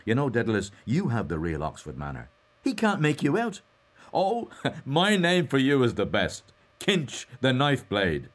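A man's voice: low voice, very animated, fast, few pauses. A man voicing a plump, mocking, cheerful young man in an audiobook novel, with theatrical, mock-solemn declamation. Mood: mocking, exuberant. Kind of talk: acted dialogue in an audiobook novel.

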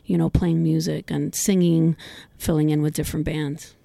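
A woman's voice: deep voice, little pitch movement, moderate pace, gravelly, mellow, dry recording. A woman in her thirties, a native Spanish speaker, talking earnestly as a guest musician and teacher in a casual interview, with frequent ums and repeated words. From United States, Cuban family.

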